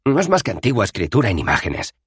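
A man reading an audiobook aloud, voicing a nervous, fussy male wizard in a raised, hurried, fretful voice. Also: fairly low pitch, huge pitch swings, a bit bright, slightly rough, no room sound, voice pushed up, full character voice.

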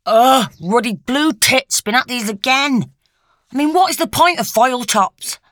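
A woman voicing a cheeky, brisk young female care worker in an audiobook, chatty and colloquial, often exasperated. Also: medium pitch, pushed and bright, dry recording, studio quality, lightly acted.

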